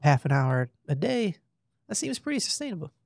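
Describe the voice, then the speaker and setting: A man's voice: fairly high pitch, sing-song, a little fast, creaky, no echo. A man co-hosting a casual fitness podcast, chatty and joking as he tells stories. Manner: joking, chatty storyteller, laughs often. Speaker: podcast co-host.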